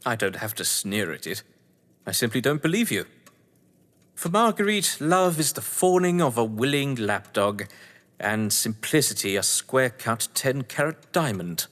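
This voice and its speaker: A man acting a suave upper-class English husband in an audio drama, sardonic and condescending. His medium-pitched voice swoops theatrically through wordy, arch phrases, ringing in a long hall-like reverb. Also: big pitch swings, measured pace, fairly bright tone. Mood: cutting, sardonic.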